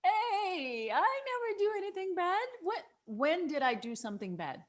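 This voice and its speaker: A woman's voice: extremely high pitch, big pitch swings, measured pace, full character voice. An actress playing a brash, rebellious twenty-one-year-old woman in a table read, flippant and defiant.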